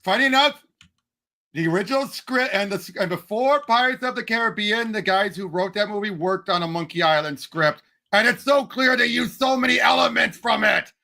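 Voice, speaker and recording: A man with a high, bright voice and a lot of gravelly creak. He talks as a podcast co-host in casual conversation, pressing his opinions with emphasis. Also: moderate pace. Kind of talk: casual film and game discussion.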